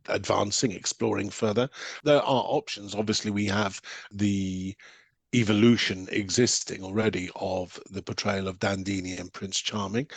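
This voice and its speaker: A man talking as an interview guest, reflective and halting, then landing short emphatic statements. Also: on the low side, husky, no room sound. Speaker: podcast guest from pantomime theatre. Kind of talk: conversational interview talk.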